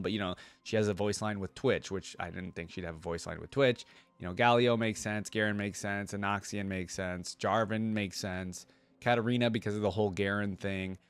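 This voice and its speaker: A man's voice: lowish voice, steady tone, normal speed, clean tone. A man hosting a reaction video, thinking aloud in an informal, conversational way about game voice lines.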